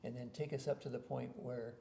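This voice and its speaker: A man's voice: medium pitch, level tone, fairly quick, vocal fry, warm tone, roomy sound. A man hosting a casual interview at home, asking rambling questions with many hesitations. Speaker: host. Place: home after dinner.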